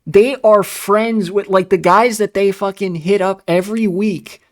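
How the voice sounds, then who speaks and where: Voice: mid-range voice, steady tone, measured pace. Ranting political streamer. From United States. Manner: ranting, profane, sarcastic. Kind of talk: casual political commentary.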